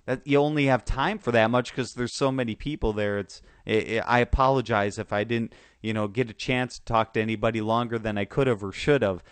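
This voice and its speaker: A man hosting a casual football talk show, his voice sore and a bit hoarse, stumbling through restarts and fillers. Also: medium pitch, steady tone, dry recording. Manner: halting, many restarts and fillers. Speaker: sports podcast host.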